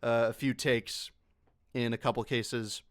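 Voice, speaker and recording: A man's voice: medium pitch, fairly even intonation, measured pace, no echo, clean studio sound. A man talking casually on an online panel as a voice actor who directs dubs, explaining things with joking asides. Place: online panel over voice call.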